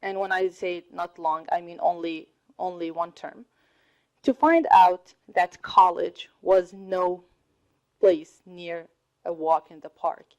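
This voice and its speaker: A woman in her thirties whose first language is Arabic, giving a slow, deliberate talk to a college audience and laughing often. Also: lowish voice, little pitch movement, poor audio. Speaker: student speaker. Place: college hall.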